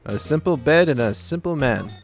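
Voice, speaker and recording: A man's voice: medium pitch, expressive, moderate pace, faint music behind. A man hosting a let's play with casual, playful, wisecracking commentary. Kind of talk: casual joking game commentary.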